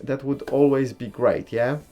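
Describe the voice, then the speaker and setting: A man's voice: mid-range voice, a little slow, soft background music. Easygoing man chatting on a livestream. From Poland. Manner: chatty, relaxed, reads and answers comments; blunt opinions. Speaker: livestream host. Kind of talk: casual live-stream chat with viewers.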